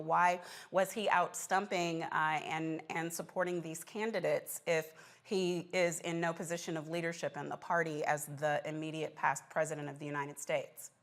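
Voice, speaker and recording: A woman's voice: lowish voice, a bit bright. A woman hosting a political news show, speaking in a direct, pressing manner as a broadcast interviewer.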